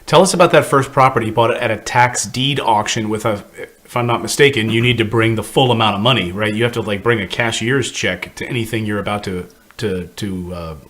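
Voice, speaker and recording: Male interview host, middle-aged, lowish voice, energetic and friendly on-air patter. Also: a little fast. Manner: energetic, plugs channel, jokes about names. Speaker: show host. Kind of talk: upbeat interview chat.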